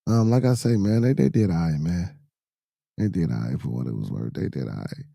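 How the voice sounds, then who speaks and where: A hoarse man with a low, dark, mellow voice hosts a casual show. He talks in loose slang and mutters to himself as he fights with the screen share. The sound is dry and close. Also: animated, brisk, dry recording. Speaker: podcast host. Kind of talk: casual live-stream chat.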